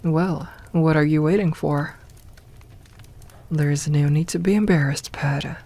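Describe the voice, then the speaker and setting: A woman playing a doting, teasing evil lamia queen in an ASMR roleplay, soft-spoken and unhurried, with formal phrasing and near-whispered murmurs. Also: deep voice, fairly even intonation. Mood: composed, commanding. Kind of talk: ASMR roleplay, intimate personal attention.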